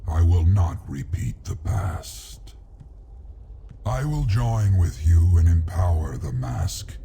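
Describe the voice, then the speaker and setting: An ancient, weary dragon speaks in a solemn, archaic way for a fantasy video game. The very deep voice crackles with gravel and swings widely in pitch as it rumbles along. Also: male voice, expressive, mellow, exaggerated voice. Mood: solemn resolve. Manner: slow, portentous, archaic phrasing.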